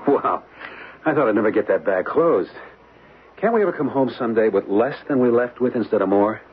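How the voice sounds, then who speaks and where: Man playing a lively American newlywed husband. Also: medium pitch, fairly quick, close to an everyday voice. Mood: tired, affectionate. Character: easygoing, affectionate young newlywed husband, keen photographer. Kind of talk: old-time radio drama acting. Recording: muffled old radio broadcast with hiss.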